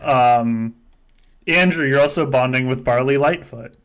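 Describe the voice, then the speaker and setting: A man's voice: medium pitch, unhurried, clean tone. A man hosting a game stream in casual, joking chat, with wry, profane, ranting asides.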